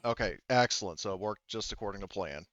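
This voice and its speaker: A man's voice: lowish voice, fast talker, fairly bright tone, highs cut off. A man chatting casually and informally as game master of an online tabletop game, swearing freely. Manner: informal, profane.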